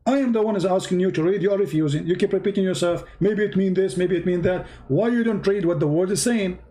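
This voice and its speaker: A man's voice: high-pitched, quick pace, warm tone. A man whose first language is Arabic, hosting a heated debate, talking aggressively, interrupting and insulting his opponent. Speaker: debate host.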